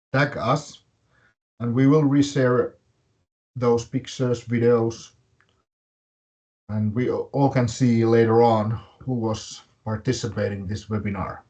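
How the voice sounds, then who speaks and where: A man with a Finnish accent hosting a live webinar, speaking in short, halting, deliberate phrases. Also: medium pitch, fairly even intonation, darkish tone. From Finland. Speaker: webinar host and fitness academy director.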